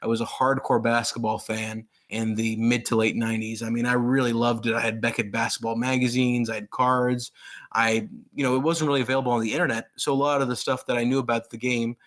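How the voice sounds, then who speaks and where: A man's voice: medium pitch, level tone, a little fast, fairly bright tone. A man in his thirties who grew up in Pakistan, a guest author talking casually and enthusiastically in an interview, with many fillers and restarts.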